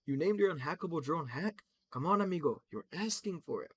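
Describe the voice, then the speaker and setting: A man voicing a brash, joking male trickster in a loud, high-pitched voice. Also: expressive, fairly quick, slightly rough. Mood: mocking.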